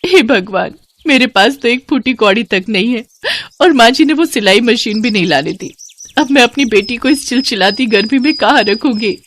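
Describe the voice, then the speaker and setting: A woman's voice: fairly high pitch, animated, moderate pace, fairly bright tone. A woman voicing a poor young mother and seamstress who pleads tearfully for help. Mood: pleading.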